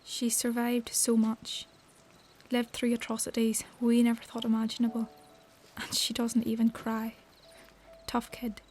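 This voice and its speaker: An actress playing a subdued, musing school survivor in an audio drama, with a Northern Irish accent. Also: female voice, fairly high pitch, completely flat delivery, measured pace, a little mellow, soft background music, natural voice. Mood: awed, sombre.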